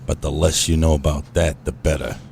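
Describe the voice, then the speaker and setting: A man's voice: rumbling low, steady tone, brisk, vocal fry, darkish tone, a little hiss or hum. A man playing a shady, pleading old crook of a father in a comedic noir radio play. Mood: shifty.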